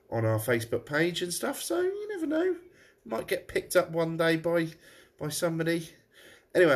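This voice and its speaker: A man, a pub landlord chatting casually on a live stream while tasting beer, his nose blocked up. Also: on the high side. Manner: chatty, rambling, frequent restarts. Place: room above his pub.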